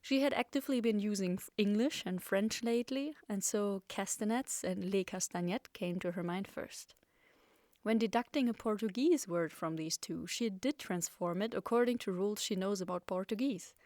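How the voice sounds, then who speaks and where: A woman hosting a podcast, reading scripted narration in a clear, explanatory and lightly playful way. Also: medium pitch, normal speed, a little mellow, no room sound. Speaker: podcast host.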